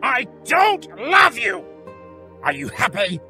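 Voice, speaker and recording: A man's voice: extremely high pitch, wildly swooping pitch, takes it slowly, sharp tone, faint music behind, full character voice. A man playing a bitter, self-loathing creature of chaos in an audio drama, theatrical and volatile. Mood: anguished, bitter. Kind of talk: fan audio drama acting.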